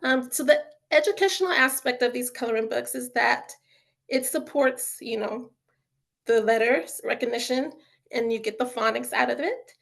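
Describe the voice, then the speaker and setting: Woman, educator on a video call, casual, enthusiastic, filler-heavy, a little high, raspy fry. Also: normal speed, a bit bright. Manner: chatty, lots of fillers like "you know" and "um"; enthusiastic about children's learning. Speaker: author and educator presenting a webinar. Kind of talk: casual webinar presentation.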